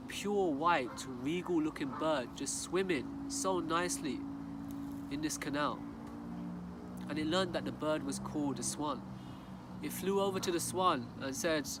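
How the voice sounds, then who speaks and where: A man telling a moral story to viewers in a measured, earnest, rhetorical manner. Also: fairly high pitch. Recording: distant mic, loud outdoor traffic noise.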